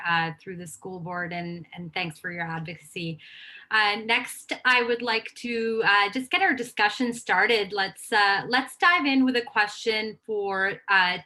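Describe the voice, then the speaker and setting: A woman's voice: mid-range voice, sharp tone. A woman moderating a panel discussion, introducing questions with a friendly, hesitant manner. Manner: friendly, hesitant, many uhs.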